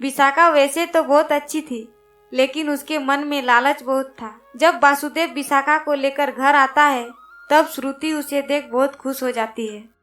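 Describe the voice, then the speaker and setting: Narrator: woman, high voice, scripted children's bedtime moral tale, light music bed. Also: fairly even intonation, a little slow, a bit bright. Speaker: story narrator.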